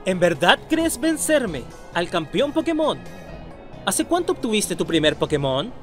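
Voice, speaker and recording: A man's voice: high voice, very animated, unhurried, a bit bright, heavily acted. A man voicing a jaded, sarcastic retired champion in a Latin American Spanish cartoon parody fandub. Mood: weary, disbelieving. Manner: sarcastic, exasperated. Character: jaded retired male Pokémon champion, sarcastic, easily exasperated. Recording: background music under voice.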